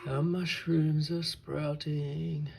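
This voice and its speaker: A middle-aged man with a hoarse voice he is losing, talking plainly and matter-of-factly in a casual vlog. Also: on the high side, flat delivery, extremely slow, darkish tone. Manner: plain, matter-of-fact talk with restarts. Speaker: vlogger. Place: in a car, then outdoors in a yard. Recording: noisy car and outdoor background.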